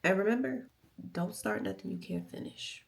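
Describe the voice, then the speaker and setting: An American woman chatting casually and candidly to her vlog audience, playful and emphatic, with a slightly raspy, nasal voice. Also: medium pitch, moderate pace. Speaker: vlogger. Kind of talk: casual confessional vlog chat.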